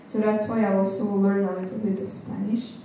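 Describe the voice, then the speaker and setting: A woman's voice: mid-range voice, flat delivery, brisk, dark tone. A woman from France, a native French speaker, answering in English carefully and hesitantly, searching for words. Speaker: guest volunteer. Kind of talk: casual interview answers. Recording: roomy echo, distant mic, faint noise.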